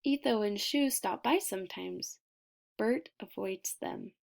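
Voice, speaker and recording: A woman reading a children's picture book aloud expressively, deliberate in her delivery, with dramatic pauses and emphasis. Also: medium pitch, creaky, darkish tone.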